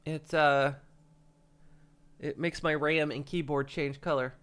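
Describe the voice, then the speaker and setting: A man with a slightly raised voice chats to his stream audience while clearly congested and stopped up. He rambles, laughs often, and trips over his own sentences. He sounds like he is talking into a desk mic at a home setup. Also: on the high side, fairly even intonation, takes it slowly, fairly bright tone. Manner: rambling, frequent chuckles and restarts. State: congested, stuffy nose. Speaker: livestreamer. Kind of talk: casual stream chat. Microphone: desk microphone.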